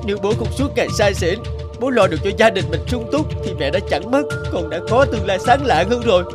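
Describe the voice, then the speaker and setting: A high-pitched, defiant boy. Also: lively intonation, fast, over music. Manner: accusing, defiant. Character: defiant, resentful boy who loves piano. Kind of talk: animated drama acting.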